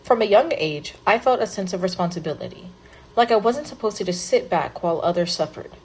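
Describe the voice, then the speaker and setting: A woman's voice: mid-range voice, animated, fairly quick, a little mellow, husky, low-quality recording. A woman reading as a young man telling his story. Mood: earnest. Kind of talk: scripted first-person narration.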